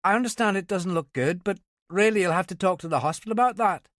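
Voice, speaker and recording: A woman's voice: medium pitch, quick pace, dry recording, clean studio sound, voice pushed up, close to an everyday voice. A male narrator voicing a young female police sergeant from Northern Ireland, with an Ulster accent and a polite, official manner. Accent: Northern Irish.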